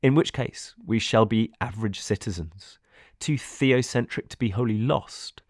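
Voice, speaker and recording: A man reading a scripted philosophical audiobook in a measured, formal manner. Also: lowish voice, no room sound, clean studio sound. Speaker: narrator.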